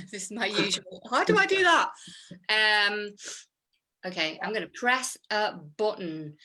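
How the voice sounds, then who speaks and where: A woman's voice: medium pitch, sharp tone. A woman hosting an improv scene, chatty and giggly with lots of fillers. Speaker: improv performer and host. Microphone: video call.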